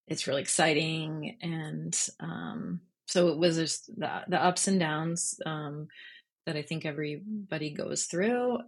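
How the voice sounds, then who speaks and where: Low-voiced woman, slightly husky. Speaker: interview guest, freelance journalist and writer. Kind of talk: casual reflective interview chat.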